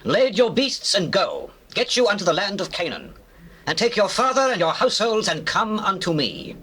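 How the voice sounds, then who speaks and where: Forceful, high-voiced imperious Pharaoh. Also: male voice, sing-song, normal speed, full character voice. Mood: grand commanding. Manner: commanding, declamatory. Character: Pharaoh, imperious, generous king of Egypt. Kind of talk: theatrical scripture drama.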